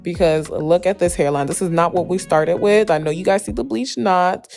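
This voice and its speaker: Female tutorial host, young adult, slightly hoarse with some fry, chatty and emphatic, mid-pitched, music underneath. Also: moderate pace, a little mellow. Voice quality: slightly raspy. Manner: chatty, emphatic, addresses viewers familiarly. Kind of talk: casual voice-over tutorial. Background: background music with guitar.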